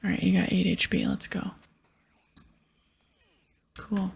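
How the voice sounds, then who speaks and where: A woman giving casual, hushed ASMR commentary over a video game in a breathy whisper. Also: on the low side, huge pitch swings, slow. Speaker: host of a gaming ASMR series.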